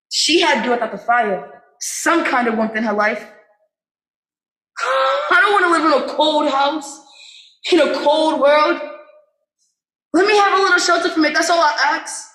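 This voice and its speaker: A woman's voice: high-pitched, expressive, measured pace, pauses often, bright, forceful tone, full character voice. A woman acting a restless young man in a theatre monologue, emphatic and rhythmic. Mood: yearning. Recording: echoey room.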